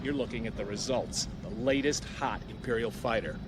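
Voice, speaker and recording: A man playing a cocky, gruff military pilot leader in a science-fiction audio drama, briefing in a brisk, wry, no-nonsense way. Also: medium pitch, background noise, close to an everyday voice. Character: cocky, gruff roguish general drilling pilot trainees.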